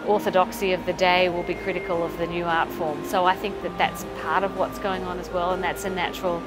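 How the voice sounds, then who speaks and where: A woman's voice: on the low side, flat delivery, fairly quick. An older woman giving a thoughtful, analytical interview comment in a large hall. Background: loud background music.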